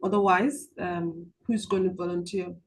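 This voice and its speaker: Woman money coach, video-call sound, hesitant and filler-heavy, gentle when guiding a breathing exercise. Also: fairly low pitch, fairly even intonation. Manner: halting, many filler ums and restarts; soft, slow guided-relaxation instructions. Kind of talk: online group coaching session with guided visualization.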